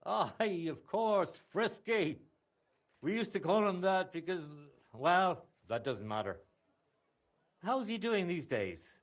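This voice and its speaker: Chatty guild craftsman, higher-than-average pitch, animated delivery, candid, video game dialogue. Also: takes it slowly, heavily acted. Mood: curious, amused. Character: chatty, candid guild craftsman, old acquaintance of hero's father.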